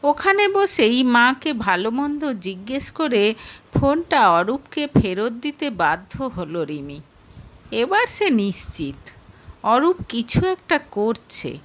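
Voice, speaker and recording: A woman reading a scripted romantic drama story aloud as an expressive narrator. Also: a little high, slow, poor audio.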